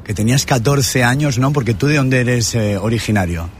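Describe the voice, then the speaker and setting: A man's voice: mid-range voice, moderate pace, slight background noise. A man interviewing a young player, asking friendly, prompting questions. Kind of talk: casual interview.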